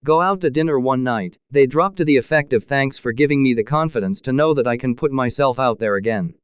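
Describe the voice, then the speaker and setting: Smooth-voiced man reading posts aloud. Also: mid-range voice, brisk, no echo.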